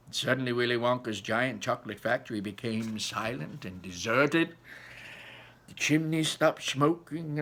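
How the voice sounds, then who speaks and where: A man voicing an excitable elderly grandfather telling a mysterious storybook tale, dramatic and dropping to hushed tones for the mystery. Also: mid-range voice. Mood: grave. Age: older.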